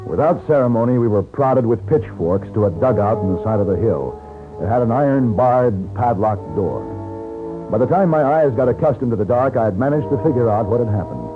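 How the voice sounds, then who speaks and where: A man's voice: medium pitch, animated, brisk, over music, lightly acted. An actor playing a shrewd, persuasive American gunfighter in an old radio western, assured and wry as he acts and narrates. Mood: wry narrating. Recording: tinny, noisy old radio recording.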